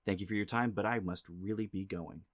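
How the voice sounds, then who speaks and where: A man's voice: sits low, steady tone, brisk, faint music behind. A man reading a playful, conversational promo as a podcast host, close to the microphone. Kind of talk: scripted promo read, jokey. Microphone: close dry microphone.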